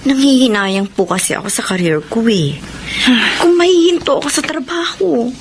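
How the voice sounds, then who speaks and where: Coy, lively, high-voiced Filipino wife. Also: female voice, moderate pace. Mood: uneasy, defensive. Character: vain, career-minded wife, aged twenty-nine, evasive. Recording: noisy radio drama recording.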